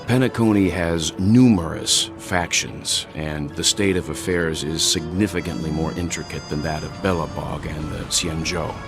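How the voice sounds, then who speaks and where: Very deep, measured mentor. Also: male voice, steady tone. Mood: analytical. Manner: formal, deliberate. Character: calm, wise, measured middle-aged male mentor and strategist. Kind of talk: scripted video game dialogue. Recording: music behind the voice.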